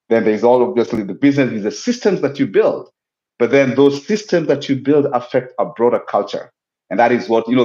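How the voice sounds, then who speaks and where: A man from Kenya, a guest explaining his ideas in a measured, reflective way over a remote call. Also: medium pitch, darkish tone. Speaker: guest, business owner. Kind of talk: casual interview talk. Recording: echoey, dull lo-fi audio.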